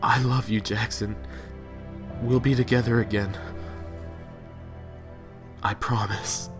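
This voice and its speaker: A man's voice: mid-range voice, steady tone, slow pace, over loud music, natural voice. A man playing a hardened veteran survivor and father in an audio drama, terse and authoritative. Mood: grave, tender. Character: Jack, hardened veteran survivor and father, commanding, self-sacrificing. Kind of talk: amateur audio fiction acting.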